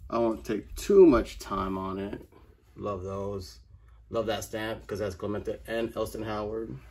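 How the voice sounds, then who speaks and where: A man's voice: lowish voice, steady tone, a little slow, dark tone. A man in middle age giving a casual, slangy video walkthrough at home, recorded on a handheld phone. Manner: informal, slangy. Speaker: vlogger giving a room tour. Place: carpeted room at home. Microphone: phone held in hand.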